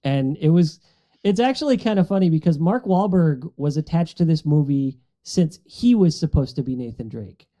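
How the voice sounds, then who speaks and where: A man's voice: a little high, expressive, moderate pace, warm tone. A man talking casually as a podcast panelist in a discussion among friends, on a dull, muffled recording.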